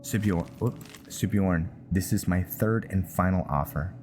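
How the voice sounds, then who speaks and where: Low-voiced man narrating his gameplay. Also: darkish tone, faint music behind. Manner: hesitant, halting delivery. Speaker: gaming channel host. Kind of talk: casual gameplay roleplay commentary, reading game notes aloud.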